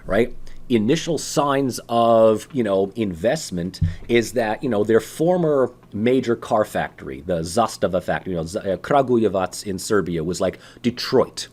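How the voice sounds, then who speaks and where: A man's voice: fairly low pitch, moderate pace. A man giving a political science lecture in a conversational way, full of fillers and rhetorical questions. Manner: animated, acts out voices of ordinary people. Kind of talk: recorded university lecture.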